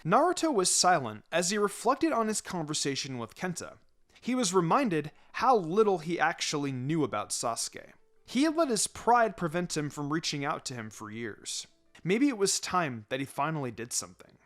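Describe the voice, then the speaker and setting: A man reading a story aloud as an expressive narrator. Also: a little high, moderate pace. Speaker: fan fiction narrator. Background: background music.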